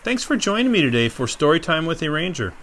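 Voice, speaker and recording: Male park ranger reading a story to young kids, gentle and questioning, slightly raised voice. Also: fairly high pitch, very animated, quick pace.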